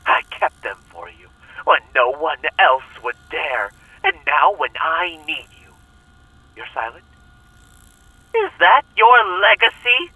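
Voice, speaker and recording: An actor playing a fanatical, hunted cult leader in a horror audio drama, theatrical and manipulative, preaching and pleading on a taped message. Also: male voice, fairly high pitch, big pitch swings, takes it slowly, pushed and bright, no room sound, recorded from a distance, full character voice, rough recording. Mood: wounded fury. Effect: played back as a taped message.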